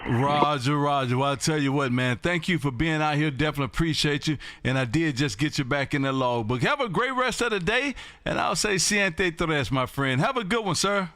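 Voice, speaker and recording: A man from Texas running a ham radio live stream from his home radio room, talking loudly and excitedly in a folksy way. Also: a little high, fairly quick, hardly any pauses, no room sound. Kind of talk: ham radio contact chat.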